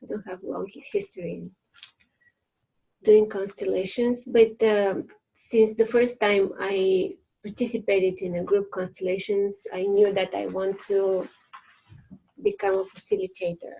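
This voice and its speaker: A woman introducing herself to a training group, pausing as she searches for words. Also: mid-range voice, creaky, dark tone, husky, low-quality recording. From Bulgaria. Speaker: accountant, training participant. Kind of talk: reflective self-introduction.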